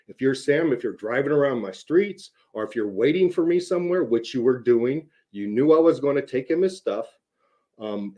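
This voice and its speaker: A man with a mid-range voice and a mellow, rounded tone delivers an unscripted rant. He is blunt and insistent, repeats short phrases for emphasis, and swears casually as he makes his points. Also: mid-range voice. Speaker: online video host. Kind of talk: unscripted rant to camera, addressing a rival.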